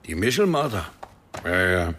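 Low-voiced, terse old village widower. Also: male voice, very animated, moderate pace, a bit bright, slight background noise. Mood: matter-of-fact. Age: older. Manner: terse, plain-spoken. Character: old widowed village man, gruff, plain-spoken, devoted. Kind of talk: radio drama dialogue.

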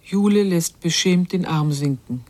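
An actress as the observant narrator of a literary radio drama, telling a child's story in a measured way. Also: female voice, lowish voice, mellow.

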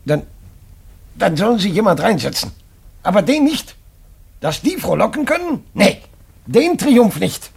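Older father for radio drama: stubborn, proud, husky with a creaky edge, animated pitch. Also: unhurried, pauses often, full character voice. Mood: defiant, bitter. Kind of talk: German radio drama acting.

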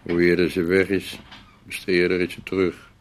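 A woman's voice: very deep voice, little pitch movement, moderate pace. An actress in a radio drama playing a sour, reproachful older woman, curt, grumbling and sarcastic.

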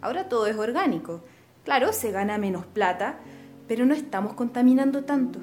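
A young Chilean woman of a landed family played by an actress in radio theatre. She speaks in a high voice, earnest, idealistic and direct, with soft music behind her. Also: expressive, brisk, lightly acted. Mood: friendly, settled. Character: earnest, idealistic young daughter of a rural landowner. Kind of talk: radio drama acting.

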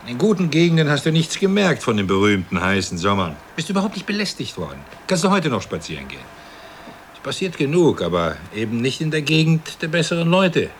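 A man's voice: on the high side, sing-song, brisk. A man playing a smug, cynical well-off husband in a radio drama, dismissive and mocking, with noisy ambience behind him.

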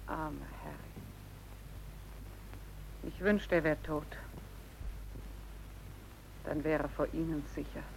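Creaky-voiced, sorrowful questioned woman. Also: low-pitched, fairly even intonation, slow, long pauses, darkish tone, a little hiss or hum, heavily acted, low-quality recording. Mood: sad, resigned. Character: bewildered, sorrowful young woman under interrogation. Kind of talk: German radio drama or film-dub acting.

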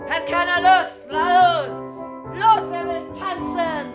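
An actress playing a lively, bold young woman in a German radio drama, calling out and coaxing. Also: very high-pitched, slow, music in the background. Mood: excited, coaxing.

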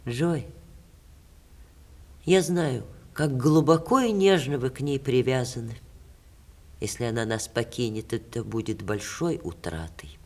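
An actress playing a witty, proud middle-aged stage star in a theatrical 1960s Russian radio play, expressive and teasing with dramatic pauses. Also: female voice, very deep voice, heavily acted. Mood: tender, poised.

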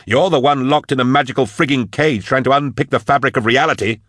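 A man voicing an outspoken, indignant male scholar who challenges and yells sarcastically in an audiobook story. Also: medium pitch, big pitch swings, moderate pace, dry recording, studio quality, pitched above the performer's own voice, lightly acted. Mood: angry, sarcastic.

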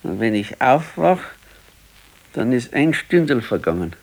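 A man's voice: medium pitch, very animated, a little slow, gravelly, a little mellow, heavily acted. A man playing an ailing, stubborn, brooding old husband in a radio play, laconic and weary, with a southern German, Bavarian accent. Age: older. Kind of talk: naturalistic radio play acting.

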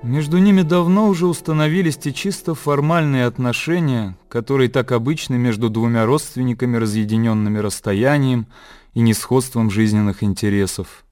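A man reading scripted literary narration for a radio play in a measured, descriptive storytelling manner. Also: mid-range voice, a little mellow, faint music behind. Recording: clear outdoor nature ambience behind.

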